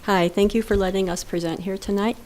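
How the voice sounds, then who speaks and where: A woman presenting at a town council meeting, quiet and soft-spoken at a podium microphone. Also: mid-range voice, fairly even intonation, fairly quick. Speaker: grant program presenter.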